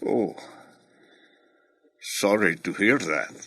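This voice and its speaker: A Filipino man playing a businesslike corporate boss in radio drama, formal and courteous with measured authority. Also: on the low side, lively intonation, a bit bright, heavily acted. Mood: concerned. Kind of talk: Tagalog radio drama acting, mixing in English.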